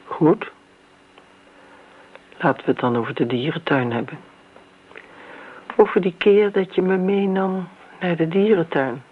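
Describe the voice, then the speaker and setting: Een actrice die in een hoorspel een verbitterde, scherpe volwassen nicht speelt, bot, spottend en verwijtend. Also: female voice, on the low side, a little slow, pauses often, husky. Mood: accusing. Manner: blunt, sardonic, accusing. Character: bitter, sharp-tongued grown niece, imperious and mocking. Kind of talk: radio play acting. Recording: tinny, narrow old radio recording, hiss.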